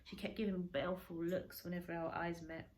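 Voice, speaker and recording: A woman reading a children's story aloud like a teacher, dramatically, with pauses and stretched words for emphasis. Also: on the low side, little pitch movement, a little mellow. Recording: echoey room, faint background noise.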